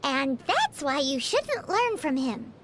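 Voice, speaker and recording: Game fairy companion: childlike, extremely high and squeaky, slow-paced, cutely exaggerated, excitable and naive. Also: female voice, a little hiss or hum, full character voice. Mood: chirpy, explaining. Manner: speaks of self in third person, exaggerated cute delivery. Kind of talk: video game voice acting. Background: music.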